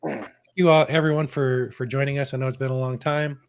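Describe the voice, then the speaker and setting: A man's voice: medium pitch, steady tone, fairly quick, clear, resonant tone. Upbeat host man, under the weather. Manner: upbeat, welcoming, reads out viewer names. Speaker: host reading super chats. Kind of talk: casual live-stream chat.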